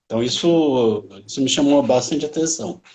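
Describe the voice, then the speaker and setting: A man's voice: medium pitch, brisk, darkish tone, rough recording. A man, a history professor answering interview questions modestly, often correcting himself. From Bauru, São Paulo state, Brazil. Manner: modest, with restarts and self-corrections. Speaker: university history professor, guest.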